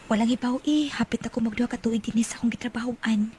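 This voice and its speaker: A woman's voice: on the high side, fairly even intonation, quick pace, dark tone, a little hiss or hum, close to an everyday voice. A woman acting as an earnest, naive teenage working girl in a Bisaya radio drama, with a Cebuano accent and a plaintive manner. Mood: wistful, hushed.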